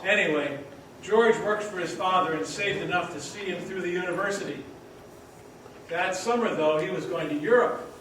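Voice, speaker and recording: A man acting a folksy heavenly narrator is heard from afar in a very echoey, noisy hall. His high voice explains the tale patiently and conversationally, as in a radio play. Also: normal speed, close to an everyday voice. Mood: explanatory. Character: patient, folksy heavenly storyteller briefing a pupil. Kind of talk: radio drama narration on stage. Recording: very echoey, distant, noisy stage recording.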